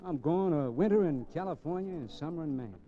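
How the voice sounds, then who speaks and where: A man's voice: on the high side, lively intonation, fairly quick, natural voice. An actor playing a cocky, restless drifter crook in a 1950s radio crime drama, with slangy, wisecracking tough-guy talk. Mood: cocky, sardonic. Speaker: radio drama actor, lead and first-person narrator. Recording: muffled vintage 1950s radio recording, faint music.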